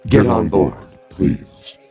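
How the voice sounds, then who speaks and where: An actor playing a towering, polite alien steward in a radio drama, giving measured, courteous but insistent orders. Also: male voice, dark tone, faint music behind, lightly acted. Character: towering polite alien steward herding human passengers aboard.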